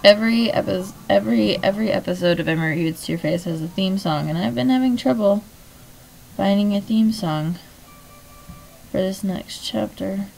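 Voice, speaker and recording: A young woman with a hoarse, fading voice, chatting in a rambling way and then reading a novel aloud from home on a webcam. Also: medium pitch, moderate pace, a little mellow, soft background music, low-quality recording. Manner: rambling, chatty, many fillers. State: hoarse, losing voice. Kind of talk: casual vlog chat and book reading.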